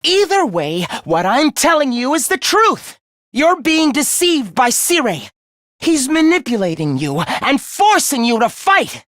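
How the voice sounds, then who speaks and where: A man's voice: extremely high pitch, fairly bright tone, no room sound, studio quality, full character voice. An actor voicing a scornful, zealous young male video game villain who despises humans, with a theatrical, contemptuous delivery. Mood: taunting, contemptuous. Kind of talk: video game character voice acting.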